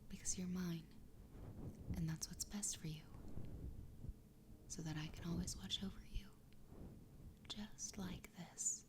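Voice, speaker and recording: A woman voicing a doting, possessive yandere fox girl wife in a soft, breathy ASMR whisper, tender and soothing. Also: lowish voice, natural voice. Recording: clear background ambience noise.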